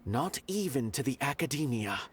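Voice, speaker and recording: An actor playing an earnest, grandiose art connoisseur in a video game, speaking in eloquent, declamatory phrases. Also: male voice, medium pitch, big pitch swings, normal speed, lightly acted. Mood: impassioned. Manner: eloquent, declamatory, formal diction. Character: theatrical, high-minded male art connoisseur, earnest and grandiose.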